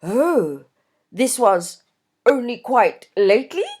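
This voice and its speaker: Casting: courteous young gentleman sleuth, calm and measured, voiced by a woman. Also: on the high side, slightly rough, voice pushed up, exaggerated voice. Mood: polite. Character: polite, cautious young gentleman investigator.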